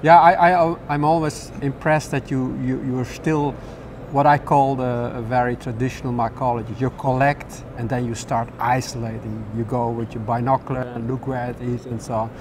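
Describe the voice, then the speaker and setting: A man's voice: medium pitch, level tone, moderate pace, few pauses, a little mellow, slight background noise. An older man interviewing a colleague, asking hesitant questions that repeat words, on a lapel mic in a large glass atrium. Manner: hesitant, repeats words while finding them.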